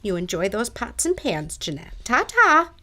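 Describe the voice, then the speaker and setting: A woman's voice: a little high, huge pitch swings, moderate pace, pushed and bright, a little hiss or hum, full character voice. A woman playing a chatty, genteel older neighbor lady in an audio drama, with gracious, old-fashioned manners.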